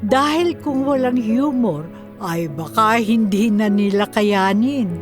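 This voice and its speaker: A woman's voice: medium pitch, slow pace. A woman with a Filipino accent reading scripted narration for a radio drama. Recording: music behind the voice.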